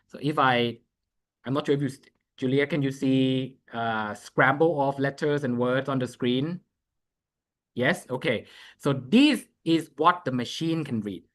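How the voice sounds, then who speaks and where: Man presenting on a webinar, stop-start delivery, talks his way through the screen. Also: medium pitch. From Thailand. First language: Thai. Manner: halting, thinking aloud while walking through a screen. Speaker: presenter giving a software demo. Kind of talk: live webinar demo.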